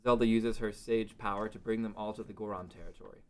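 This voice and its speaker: A man's voice: on the low side, steady tone, quick pace. A man narrating a fantasy script at a table read.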